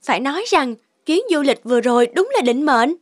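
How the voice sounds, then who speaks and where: A woman's voice: sits high, animated, brisk, no echo, clean studio sound. An actress voicing a lovestruck young woman in a cartoon, sweet and gushing. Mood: blissful. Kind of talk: cartoon dialogue.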